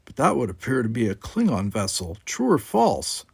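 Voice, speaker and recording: A man's voice: mid-range voice, huge pitch swings, moderate pace, creaky, a little mellow, husky, no echo, heavily acted. A man voicing a combative, mocking male alien cadet in an audiobook, taunting and sarcastic. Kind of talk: audiobook character voice.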